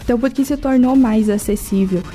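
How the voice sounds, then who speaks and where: A woman's voice: on the high side, a little fast, warm tone, faint music behind. A woman with a southern Brazilian accent explains things in a didactic way in a radio interview, often asking rhetorical questions and adding check tags. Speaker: interview guest from a language school.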